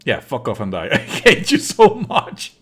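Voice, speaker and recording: A man's voice: mid-range voice, wildly swooping pitch, unhurried, slightly rough. A man doing live let's play commentary as a streamer, sardonic and indignant, swearing freely and at times reading game lines aloud.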